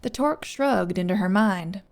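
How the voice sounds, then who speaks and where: A woman's voice: mid-range voice, animated, normal speed, creaky. A woman narrating a scripted fantasy story as an audiobook reader.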